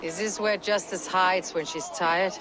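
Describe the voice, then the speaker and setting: An actress playing a tough, world-weary Viking warrior in a video game, terse, dry and defiant. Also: female voice, fairly low pitch, steady tone, a little slow, crowd noise, noisy background, lightly acted. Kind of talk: video game dramatic dialogue.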